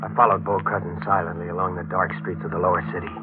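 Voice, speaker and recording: A man's voice: sits low, little pitch movement, fast, lightly acted. An American man acting as an intense, haunted newspaper reporter who tells his own story in a 1940s radio drama, with urgent, brooding delivery. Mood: brooding narration. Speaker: narrator and lead actor. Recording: muffled 1940s radio recording with music.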